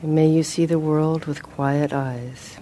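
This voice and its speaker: A woman leading a guided meditation as a teacher, speaking gently and in a measured way with long pauses. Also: low voice, steady tone, slight background noise.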